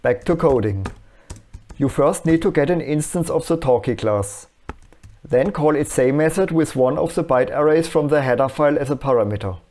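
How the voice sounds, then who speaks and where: A man presenting an electronics tutorial in scripted, explanatory narration with a measured, instructional manner. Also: on the high side, animated, darkish tone.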